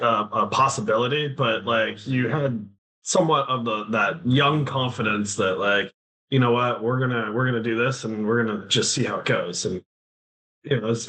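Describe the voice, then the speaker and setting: Bright-toned male podcast host. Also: medium pitch, rough recording. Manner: rambling, lots of "like" fillers while summing up. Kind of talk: interview questions, casual.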